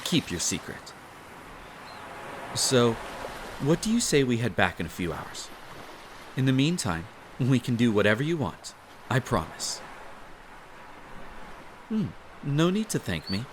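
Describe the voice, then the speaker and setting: A man acts out a young male barista with a crush, speaking at an unhurried, slow pace with plenty of melodic swing. He is teasing and bashful, tends to ramble, and looks after the listener in an intimate roleplay, with beach ambience audible behind him. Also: mid-range voice, sing-song, noisy background. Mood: bashful, fond. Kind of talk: intimate roleplay audio acting.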